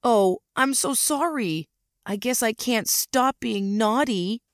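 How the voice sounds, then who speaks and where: Woman playing a slow, creaky, taunting female alien. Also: on the high side, dry recording, studio quality. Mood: mocking menace. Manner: mock-innocent, taunting, drawn-out delivery. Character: gleeful sinister female alien posing as an office worker. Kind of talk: audio drama acting.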